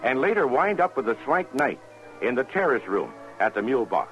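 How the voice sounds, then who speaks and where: A man's voice: medium pitch, big pitch swings, fairly quick, fairly bright tone, soft background music. A man reading scripted narration as an announcer in 1950s radio style. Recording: muffled old radio recording.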